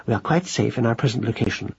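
A man's voice: medium pitch, animated, fast, slightly rough, highs cut off. A man voicing a pompous, long-winded elderly male mouse in a children's audiobook, pedantic and hesitant, with formal afterthoughts. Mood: pompous, lecturing.

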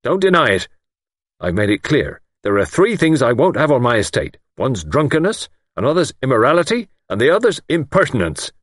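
A man's voice: lowish voice, lively intonation, moderate pace, a bit bright, slightly rough, no echo, studio quality. Guy doing a blustery self-important old english lord, indignant, voice swooping way up, audiobook style. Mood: furious. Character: pompous, blustering, easily outraged older English lord.

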